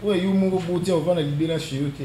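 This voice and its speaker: A man's voice: sits high, steady tone, fast, a crowd in the background. A young man in his studio interview, speaking in a casual, hesitant way with many fillers, switching between two languages. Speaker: interview guest, singer. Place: studio set with wooden walls, indoors. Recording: echoey room with clear background noise.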